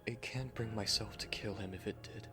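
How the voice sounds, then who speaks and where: A man playing an earnest, tender gentleman newlywed in a romantic audio drama, soft-spoken and hesitant, with a mournful sincerity. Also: lowish voice, steady tone, a little fast, music in the background, lightly acted. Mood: wistful, earnest.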